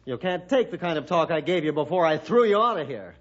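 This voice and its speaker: A stubborn, suspicious man with a gruff way of talking. His pitch sits a little high and moves freely. It is radio adventure acting with a dull, lo-fi sound. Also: animated, fast. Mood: defiant, scornful. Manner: gruff, challenging.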